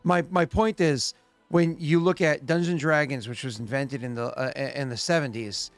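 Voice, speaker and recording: A man's voice: fairly high pitch, fairly even intonation, normal speed, no room sound, soft background music. A man in his late thirties, the game master, chatting and explaining rules at length with many restarts. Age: late 30s. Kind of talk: casual chat and game narration.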